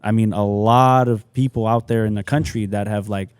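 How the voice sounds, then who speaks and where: A man's voice: lowish voice, fairly even intonation, moderate pace, a little mellow, clear, resonant tone, no room sound, clean studio sound. A man from the San Diego area, hosting a podcast in an informal, slangy, conversational way and reacting to his guest. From San Diego area, United States. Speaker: podcast host. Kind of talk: casual conversational interviewing.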